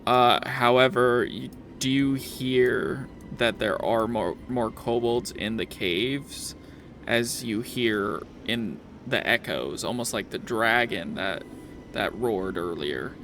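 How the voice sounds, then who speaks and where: A man with a creaky, middle-pitched voice runs a tabletop game. He narrates informally and haltingly, circling back over phrases. A faint noise sits behind him. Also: a bit bright. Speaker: game master.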